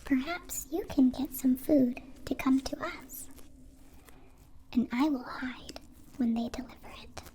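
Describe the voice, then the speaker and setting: A gentle alien played by a woman speaks in a soft, mellow whisper for an ASMR roleplay. The pace is very unhurried, each phrase careful and oddly formal, like a naive and devoted new friend. The voice sits high. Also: a little hiss or hum, poor audio. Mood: caring, helpful. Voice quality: breathy, whispery.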